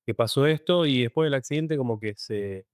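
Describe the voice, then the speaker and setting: A man in his thirties with an Argentine accent and a mid-pitched, resonant voice. He speaks as an interview guest, telling his own experiences conversationally. The sound is dry, with hardly any room on it. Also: fairly quick, no room sound. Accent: Argentine Spanish. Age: 30s. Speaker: podcast guest, professional diver. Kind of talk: conversational personal account.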